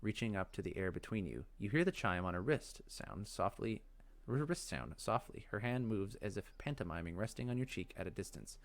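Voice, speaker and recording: A man reading fantasy game text aloud as dramatic narration, in a measured, expressive storytelling manner. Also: on the low side. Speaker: let's play host.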